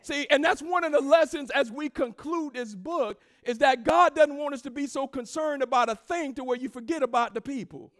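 A woman pastor delivering a sermon, emphatic and rousing, calling out to the congregation and swinging from low asides into shouted, sing-song cadences. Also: moderate pace, hardly any pauses. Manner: emphatic preaching with call-and-response.

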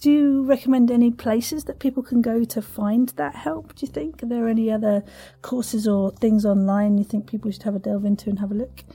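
A woman's voice: fairly high pitch, steady tone, fairly quick, few pauses, dark tone, breathy edge. A woman hosting a casual interview in an effusive, encouraging manner. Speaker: podcast host, interviewer.

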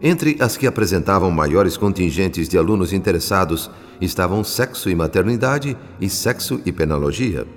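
An actor with a Brazilian accent playing an earnest male spirit narrator in a radio drama, speaking in a measured, solemn, instructive way. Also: deep voice, animated. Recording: long studio reverb, music underneath.

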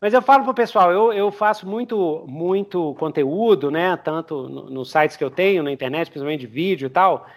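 Brazilian guy hosting a podcast, kinda high voice, chatty n informal, repeats himself. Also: normal speed, few pauses. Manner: informal, repetitive, peppers talk with tags and English terms. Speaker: podcast host. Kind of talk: casual interview chat.

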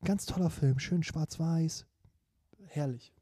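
Young male podcast co-host, playful joker, high and mellow voice, dry booth sound. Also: slow, husky, dry recording. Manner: joking, playful, drops in English words. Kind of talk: casual podcast banter.

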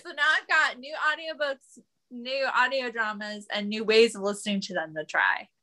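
A woman's voice: fairly high pitch, normal speed, bright, forceful tone. A woman chatting casually as a panelist on a podcast. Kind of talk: casual chat.

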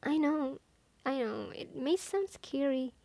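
High-voiced woman, non-native English speaker. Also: animated, slow, gravelly, dark tone, no room sound. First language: not English. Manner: playful, self-deprecating, chatty with dramatic asides. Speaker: content creator giving language-learning advice. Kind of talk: casual monologue to listeners.

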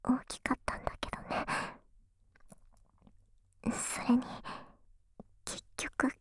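A woman playing a shy, jealous and devoted young tsundere girlfriend in an intimate ASMR voice drama, breathy and hesitant, close to a binaural microphone. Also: slow pace, lightly acted. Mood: bashful. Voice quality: breathy whisper. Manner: bashful, hesitant, confiding. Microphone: close binaural microphone, dry.

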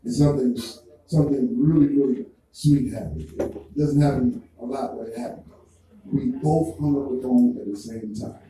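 A man with a Jamaican accent doing stand-up, talking animatedly and breaking into loud character voices. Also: medium pitch, fairly even intonation, normal speed. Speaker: stand-up comedian. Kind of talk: stand-up routine. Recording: roomy echo, distant mic, faint noise.

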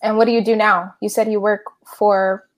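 A woman hosts a relaxed podcast chat, upbeat and quick to laugh. Her voice sits at a medium pitch, and she keeps the talk friendly and easy. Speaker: podcast host. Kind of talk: casual podcast interview.

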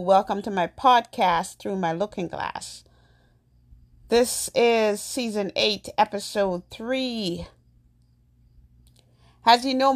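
A woman from Jamaica hosting her own podcast, talking in a soft, slow and earnest reflective monologue. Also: medium pitch. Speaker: podcast host.

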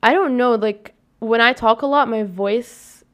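Young woman podcast host, slightly high voice, scratchy, chatty and self-correcting. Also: unhurried, highs cut off. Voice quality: scratchy, deepens with long talk. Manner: rambling, self-correcting, upbeat sign-off. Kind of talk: casual solo podcast talk.

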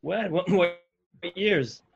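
A man's voice: high voice, slow, gravelly, husky. A man from New Jersey on a podcast, chatting casually and hesitantly, with many ums. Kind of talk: casual banter. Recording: dull dry lo-fi sound.

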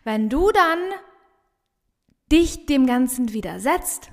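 A woman with a regional dialect talks with bright, emphatic energy in a fairly high voice, hammering home her points by repeating them. She hosts a motivational business podcast, and her voice rings on in a long reverb. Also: animated, slow. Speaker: business coach and podcast host. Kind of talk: motivational solo podcast talk.

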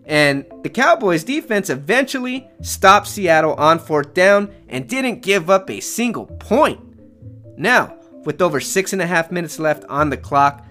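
A man with a slightly high, bright and forceful voice hosts a football podcast. He recounts games as an opinionated, emotional fan in casual slang. Faint guitar music plays behind him. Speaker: sports podcast host.

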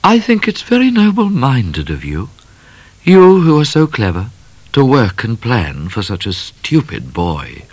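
A man's voice: mid-range voice, wildly swooping pitch, a little slow, husky, no echo, pitched above the performer's own voice, heavily acted. A man reading aloud, voicing a vain, disdainful young lady in a storybook. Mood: haughty. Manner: disdainful, prim.

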